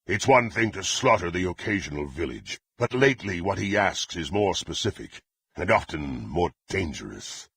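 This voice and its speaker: Gravelly, menacing brute warrior. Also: male voice, deep voice, sing-song, normal speed, a bit bright, full character voice. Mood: grim, brooding. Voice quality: gravelly, raspy. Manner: threatening, imperious, archaic formal diction. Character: brutal, menacing half-orc warrior serving a demonic patron. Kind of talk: fantasy video game character acting.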